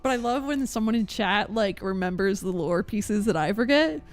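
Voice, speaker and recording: A young woman game streamer chatting casually with her viewers in an expressive, meandering way. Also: medium pitch, normal speed. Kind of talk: casual live-stream chat. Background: faint game music.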